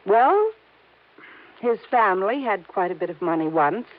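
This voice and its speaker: An anxious pleading young wife. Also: female voice, medium pitch, expressive, measured pace. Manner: pleading and emotional. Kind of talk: 1950s radio drama acting. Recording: tinny old radio broadcast.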